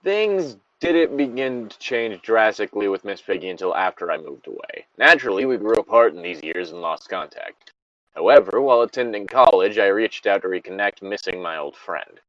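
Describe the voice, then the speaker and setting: A man's voice: on the low side, lively intonation, normal speed, a bit bright, no echo. A man reading a story aloud in a casual style as a co-host, with bantering asides. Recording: dull lo-fi close recording.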